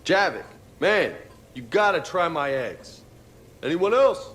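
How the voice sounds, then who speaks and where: Hearty soldier pal, loud and chummy, swooping pitch, faint room noise, game role. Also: male voice, big pitch swings, takes it slowly, exaggerated voice. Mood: cheerful, eager. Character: hearty, boisterous soldier friend playing cook. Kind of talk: casual video game dialogue.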